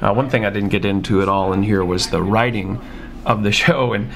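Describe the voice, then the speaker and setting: A man with a gravelly, fairly low voice speaks as a presenter. His explanation is casual and conversational, with a reflective manner. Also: fairly even intonation, brisk, a bit bright. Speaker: puppeteer, presenter.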